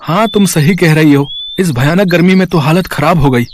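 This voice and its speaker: A man voicing a bossy, complaining village farmer in an animated moral story. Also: on the high side, moderate pace, slight background noise, close to an everyday voice. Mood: urgent.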